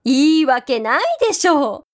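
A woman's voice: high voice, animated, normal speed. A woman acting a strict, anxious mother in a voice drama, talking in blunt everyday speech. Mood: scolding, alarmed.

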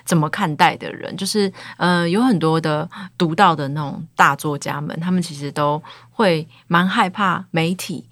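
A woman hosts a book show, posing thoughtful, polite questions. Her voice is very low for a woman, recorded close and dry. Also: unhurried, few pauses, fairly bright tone, dry recording. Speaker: podcast host. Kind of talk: interviewing.

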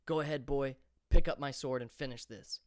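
A man's voice: mid-range voice, moderate pace, a little mellow, no room sound, clean studio sound, lightly acted. A man voicing a proud, boastful male warrior who taunts his opponent. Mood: taunting. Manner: arrogant, taunting.

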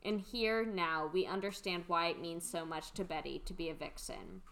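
A woman narrates a radio play from its script. Her voice sits a little low as she reads scene headings and stage directions. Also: moderate pace, a bit bright.

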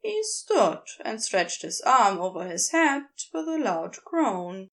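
A woman reading scripted fiction as a narrator, in an expressive storytelling style. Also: on the high side, takes it slowly. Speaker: podfic narrator.

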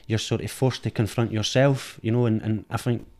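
A man's voice: lowish voice, steady tone, moderate pace, darkish tone. A middle-aged man from Scotland with an Edinburgh accent, talking frankly and thoughtfully as an interview guest, often repeating words as he searches. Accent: Edinburgh Scottish. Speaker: guest, film director. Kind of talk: candid reflective conversation. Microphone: dynamic studio mic.

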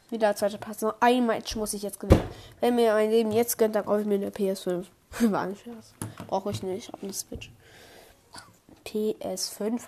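A young woman commenting on her own online match, excitable, swinging from low muttering to high squeals. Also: a little hiss or hum. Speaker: gamer streamer. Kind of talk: live gameplay commentary.

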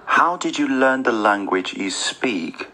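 A man voicing a formal male speaking-test examiner who asks brisk, set questions. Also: medium pitch, expressive, bright, forceful tone, voice pushed up, heavily acted.

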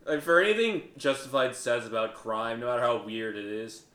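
A man's voice: mid-range voice, normal speed, fairly bright tone. Wry male co-host chatting about TV, mid register, echoey room. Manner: wry, joking asides. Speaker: podcast co-host. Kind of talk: casual TV recap chat.

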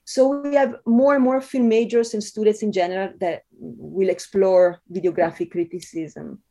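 A middle-aged woman from Italy with an Italian accent, giving conversational interview answers with frequent ums and pauses. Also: medium pitch, fairly even intonation, warm tone. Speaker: interview guest, film scholar.

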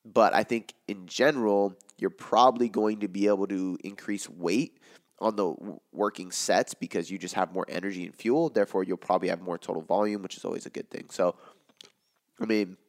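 A man with a raspy, worn-out voice, hosting a casual podcast Q&A as a fitness coach and explaining in a loose way, with lots of "like" and "um". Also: sits low. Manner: loose, filler-heavy explaining with frequent "like" and "um". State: hoarse from talking all day.

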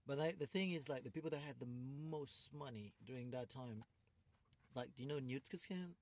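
A man's voice: medium pitch, normal speed. A man with an accent, co-hosting a casual podcast chat, rambling and restarting his sentences. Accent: non-native English accent. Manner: rambling, restarts often, laughs loudly. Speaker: podcast co-host.

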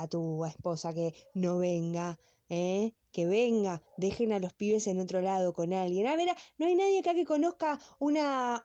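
A woman's voice: lowish voice, dark tone, dull audio, close to an everyday voice. A woman playing a blunt, sarcastic middle-aged classmate in a comic radio play, mocking and foul-mouthed, with an Argentine accent. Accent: Argentine, Rioplatense.

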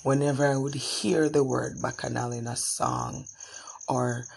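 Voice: mid-range voice, fairly even intonation, a little slow, creaky, breathy edge. A therapist from Jamaica, telling a reflective story in a thoughtful way with frequent restarts.

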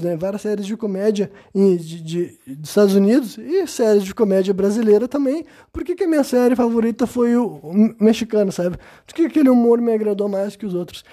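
Brazilian man musing aloud on his podcast, loose and hesitant, warm dark voice. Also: high voice. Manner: rambling, constant "sabe?" tags, hesitant restarts. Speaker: podcast host. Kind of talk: casual monologue.

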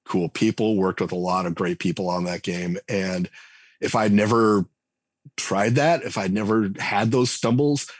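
Deep husky guy rambling thru an interview answer, lots of restarts, dry mic. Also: fairly even intonation, a little slow, no room sound. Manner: rambling, many restarts and fillers. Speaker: game writer and designer guest. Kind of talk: conversational podcast interview.

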